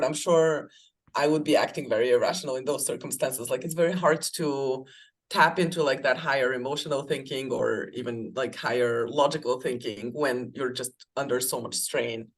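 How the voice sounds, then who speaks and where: A woman from Bulgaria, a life coach guest on a podcast, talking casually and reflectively, with frequent laughs and filler words. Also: rumbling low. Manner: reflective, chatty, laughs often, many fillers. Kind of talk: casual conversation.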